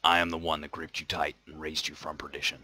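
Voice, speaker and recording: A man playing a solemn, stiff angel from a TV fantasy, with a gravelly, deadpan delivery. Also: a bass voice, fast, no echo, voice pushed down, heavily acted. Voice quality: gravelly growl. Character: solemn, stiff angel in human male form.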